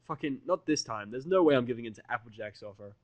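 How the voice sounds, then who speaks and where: A man's voice: mid-range voice, animated, fast talker, no room sound. A man reading a first-person story aloud as narrator, with an informal, wry delivery. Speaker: fan fiction reader.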